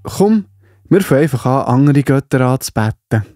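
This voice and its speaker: A man plays a careless, dismissive fellow from a straying people. His voice is mid-pitched and mellow, in children's radio play style, with faint music behind. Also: lively intonation, moderate pace, dry recording, clean studio sound, close to an everyday voice. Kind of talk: children's audio drama acting.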